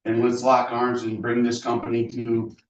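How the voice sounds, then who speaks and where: A man giving a relaxed, reassuring pitch to guests at a business meeting. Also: normal speed, slight background noise. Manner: laid back, reassuring. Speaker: sales rep addressing guests. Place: meeting room with an audience. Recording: dull, echoey room, far from mic.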